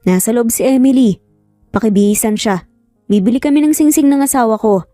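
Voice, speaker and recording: A woman's voice: on the high side, expressive, a little fast, mellow, no room sound, studio quality, close to an everyday voice. Woman playing bossy rich boss man, curt, commanding, dismissive, romance audio drama, faint music behind.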